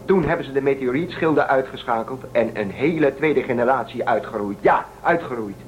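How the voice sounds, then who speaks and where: A man's voice: medium pitch, fairly quick, a little hiss or hum, close to an everyday voice, poor audio. A man playing a defiant, suspicious spaceship crewman in a radio play, urging and persuading. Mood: insistent. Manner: urgent, persuasive, suspicious of authority.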